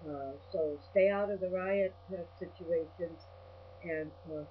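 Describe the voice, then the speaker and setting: A woman's voice: fairly low pitch, fairly even intonation, measured pace. An older woman delivers a rambling, personal monologue as a video blogger. She is blunt and salty, given to ranting. The recording is dull and lo-fi, with a faint electrical hum behind her. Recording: dull lo-fi audio with mains hum.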